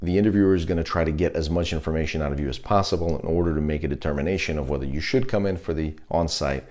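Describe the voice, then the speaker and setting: A man in his thirties with an extremely low-pitched voice speaks as a career coach. He delivers instructional advice straight to camera and puts deliberate emphasis on key words. He is miked with a lapel microphone in a small indoor room. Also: darkish tone. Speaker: host, product management career coach. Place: small indoor room, plain dark backdrop. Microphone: lapel mic.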